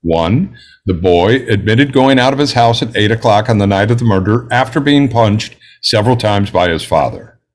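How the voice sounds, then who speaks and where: Composed, methodical juror for a drama read, played by a man: lowish voice, precise and self-assured. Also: expressive, lightly acted. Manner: measured, precise, enumerating. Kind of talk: script table read.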